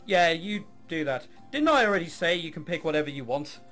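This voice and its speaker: A man's voice: fairly high pitch, big pitch swings, fairly quick, a bit bright, soft background music. A man voicing a jaded young woman, a reluctant orchestra heiress in a visual novel, with sarcastic, bored delivery. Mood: sarcastic, dismissive.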